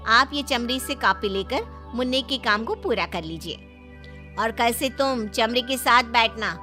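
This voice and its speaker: Woman as stern schoolteacher, complaining, very high voice, lively pitch, story drama. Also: unhurried, soft background music.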